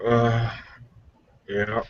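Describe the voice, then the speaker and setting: A man's voice: mid-range voice, completely flat delivery, extremely slow, no echo, highs cut off. A man chatting casually as a player in a tabletop roleplaying game.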